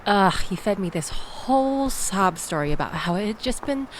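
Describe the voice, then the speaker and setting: A woman's voice: medium pitch, animated, normal speed, slight background noise, natural voice, poor audio. A woman playing a sardonic young woman venting about a bad date in an intimate audio roleplay, wry, wordy and exasperated. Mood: exasperated, indignant. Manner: wry, exasperated, wordy, theatrical emphasis with sighs and groans.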